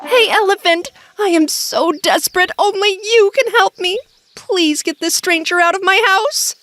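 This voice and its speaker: A woman's voice: extremely high pitch, measured pace, a bit bright, no room sound, faint music behind, voice pushed up. A woman voicing a small, cute female rabbit in a children's story, pleading and desperate. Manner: pleading, exclamatory.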